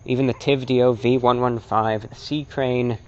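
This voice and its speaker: A man presenting an informal, opinionated lecture as a broadcaster, speaking emphatically and deliberately with rhetorical repetition. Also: mid-range voice, steady tone, darkish tone, lo-fi sound. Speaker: host and presenter, radio broadcaster.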